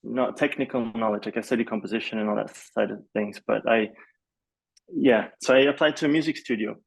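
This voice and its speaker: Male podcast guest, fry-heavy voice, loose and halting conversational delivery, thinking aloud as he tells his story. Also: lowish voice, fairly even intonation, poor audio. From Malaysia. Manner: rambling, hesitant, peppered with "like" and "you know". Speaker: podcast guest, film composer. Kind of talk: casual interview storytelling.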